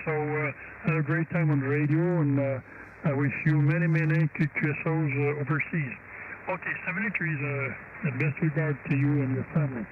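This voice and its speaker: A man's voice: on the high side, moderate pace. A man with a Québécois accent, a native French speaker, chatting casually as an amateur radio operator over a radio link. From Quebec, Canada. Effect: CB or ham radio transmission. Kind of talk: casual radio contact chat. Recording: narrow-band radio signal with loud static.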